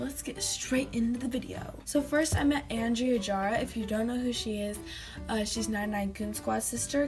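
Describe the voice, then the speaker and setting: A teenage girl chats to her webcam from a small bedroom, her voice a bit hoarse and gravelly. She sits on the higher side and rambles through her stories with plenty of "like"s and giggles. Faint music plays underneath. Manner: chatty, giggly storytelling with many fillers. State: slightly hoarse from a lost voice. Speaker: vlogger. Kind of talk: casual chat to camera.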